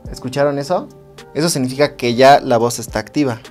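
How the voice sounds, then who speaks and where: A man's voice: mid-range voice, fairly quick, a little mellow, soft background music. A man with a Mexican accent giving a casual, conversational product review to viewers, explaining things informally as a tech vlog host.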